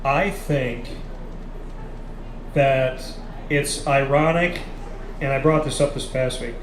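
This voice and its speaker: A man's voice: medium pitch, slow pace. Emphatic man in an echoey café. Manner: emphatic, repeats points for stress. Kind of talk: live casual sports discussion. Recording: echoey café with background noise.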